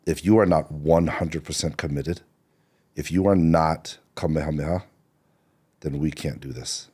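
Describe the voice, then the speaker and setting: Very deep, mellow-voiced man telling stories. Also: steady tone, unhurried, husky. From Seattle, Washington. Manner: loose and rambling, many fillers and restarts. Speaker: podcast guest, screenwriter. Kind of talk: relaxed interview storytelling.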